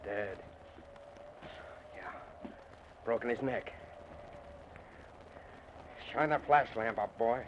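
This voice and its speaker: A man playing a brisk, authoritative investigator asking questions in an old-time radio thriller. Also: mid-range voice. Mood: brisk, commanding. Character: brisk male investigator taking charge. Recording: dull, noisy vintage radio recording.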